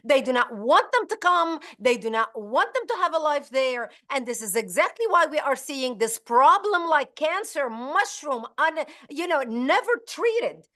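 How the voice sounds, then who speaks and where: Woman, Lebanese accent, high voice, bright and tense, emphatic interview guest. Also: very animated, unhurried, hardly any pauses. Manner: emphatic, talkative, switches between English and Arabic. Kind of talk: casual interview conversation.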